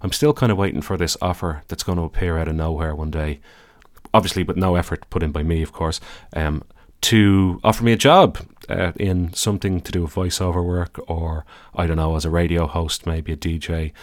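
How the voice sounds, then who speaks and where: A deep-voiced Irish man with a calm, soothing tone, talking his way through a podcast in a loose, wandering manner. His delivery is easygoing and wry. The recording is close and dry, with no room sound. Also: fairly quick, dry recording. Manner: easygoing, rambling, dry self-deprecating humour. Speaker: podcast host. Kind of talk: casual solo podcast monologue.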